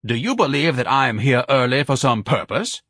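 A man's voice: medium pitch, big pitch swings, normal speed, a bit bright, slightly rough, no room sound, clean studio sound, voice pushed up. A man voicing a large, pompous, paranoid man who declaims in ornate, grandiose phrases in an audiobook. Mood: indignant, suspicious.

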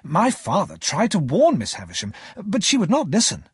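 A man voicing a frank young gentleman in a storybook, explaining things earnestly and deliberately. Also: high voice, wildly swooping pitch, husky, dry recording, studio quality, voice pushed up, heavily acted. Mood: earnest, grave.

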